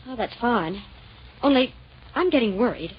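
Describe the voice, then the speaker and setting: A worried partner in crime from vintage radio. Her voice is fairly high and husky, with muffled sound. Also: lively intonation, moderate pace. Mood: guarded. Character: anxious female accomplice in a crime scheme. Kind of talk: old-time radio crime drama acting.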